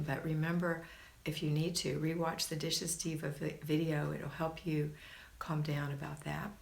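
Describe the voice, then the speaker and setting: Low-voiced, gravelly woman in a roomy space. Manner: encouraging, folksy, addressing listeners as hun and honey. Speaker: livestream host and homemaking coach. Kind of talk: casual live chat, reading and answering viewer comments. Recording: roomy, echoing home recording.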